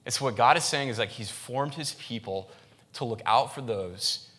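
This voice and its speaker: A man's voice: mid-range voice, moderate pace, fairly bright tone. A man, a pastor, giving a sermon in a conversational style, with frequent restarts and fillers.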